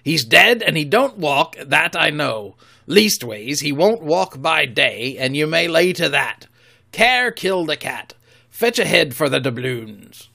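Silver, a cunning old pirate cook, put on by a man reading aloud, booming out gruff sailor's slang in a ringing, bright tone. He sounds commanding and sly, a ship's cook who keeps the crew in line. A storybook reading. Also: big pitch swings, measured pace, heavily acted.

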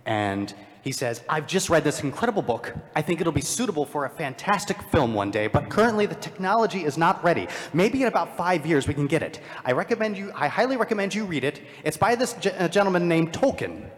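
Animated, higher-voiced man in an echoey hall. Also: fairly quick. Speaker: podcast panelist. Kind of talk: casual film-buff panel chat. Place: large convention panel room with audience. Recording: echoey convention hall, live panel.

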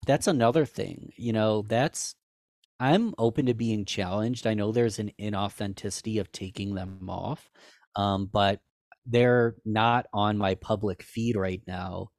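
A man's voice: a little slow, a little mellow, dry recording, clean studio sound. A man guest on a podcast, talking candidly and self-deprecatingly in casual chat, rambling with restarts. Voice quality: higher-pitched for a man.

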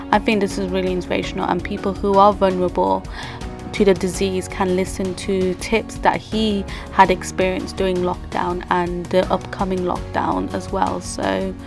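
A woman's voice: mid-range voice, creaky. A woman hosting a podcast, introducing the show and guests and asking questions. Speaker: podcast host.

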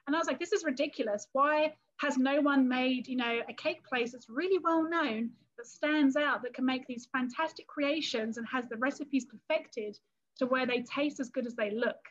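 A bright, high-pitched woman with a London-area English accent talks in an easy, chatty way and keeps acting out little quoted scenes. The recording is a bit muffled and dull. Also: normal speed. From England, London area. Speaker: cake artist and business owner, guest. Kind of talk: casual interview talk.

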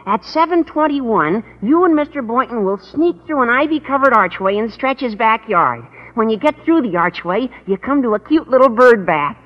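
A man's voice: very high voice, expressive, moderate pace, hardly any pauses. A man playing an eager, gushing, earnest American teenage schoolboy in old radio comedy. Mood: excited, scheming. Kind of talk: 1940s-50s radio comedy acting. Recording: muffled, hissy, reverberant old radio recording.

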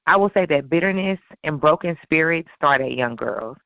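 Hoarse, gravelly-voiced woman, emphatic. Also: deep voice, animated, moderate pace, no echo. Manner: emphatic, opinionated, sassy asides. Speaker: podcast co-host. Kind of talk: casual podcast talk. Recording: dull lo-fi sound.

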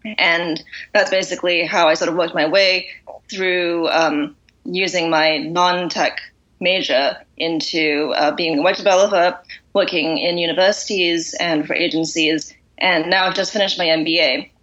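A woman from Singapore, a podcast guest, talking in a relaxed, thoughtful way with many fillers. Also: fairly low pitch, a little slow, highs cut off. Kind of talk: casual interview.

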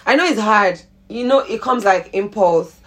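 A woman with a Nigerian accent hosting a podcast in casual, slangy, animated chat. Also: mid-range voice, normal speed. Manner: animated, laughs often, informal with slang. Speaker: podcast host.

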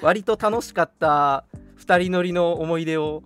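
A man's voice: a little high, unhurried, fairly bright tone, soft background music. A young man chatting casually as host of a livestream radio show, playfully talking back when teased. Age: young adult. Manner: playful, mock-indignant retorts to teasing.

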